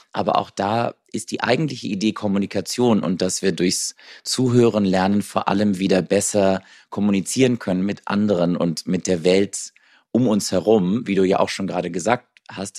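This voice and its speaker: A man hosting a podcast, introducing his guest and asking questions in a calm, measured way. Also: on the low side, no echo, clean studio sound. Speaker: podcast host. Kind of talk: podcast interview.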